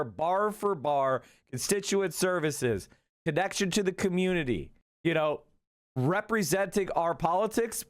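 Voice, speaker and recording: A man hosting a political livestream, talking excitedly and profanely in a rambling, casual way. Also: high-pitched.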